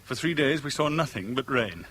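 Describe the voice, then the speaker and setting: A man's voice: medium pitch, animated, a bit bright. An actor playing an intense, romantic middle-aged man with an earnest, poetic manner in a 1970s British radio drama. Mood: hushed intensity. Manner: earnest, poetic, measured storytelling. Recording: faintly noisy old radio recording.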